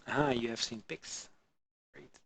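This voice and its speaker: A man from Belgium chatting casually with his live-stream viewers on a headset mic while modelling, halting and full of fillers. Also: medium pitch, very animated, fairly bright tone, breathy edge. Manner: halting, many fillers, answers chat viewers. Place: home in the Alpine region.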